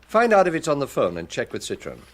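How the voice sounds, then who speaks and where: A British actor playing a shrewd, commanding chief inspector with dry sardonic manner in a radio drama. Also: male voice, on the high side, huge pitch swings, fast. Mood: businesslike.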